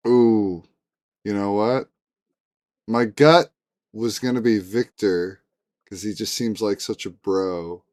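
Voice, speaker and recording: A man appearing as a guest on a casual podcast, musing and thinking out loud. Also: lowish voice, dry recording, clean studio sound. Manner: slow and musing, thinks aloud. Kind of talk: casual chat.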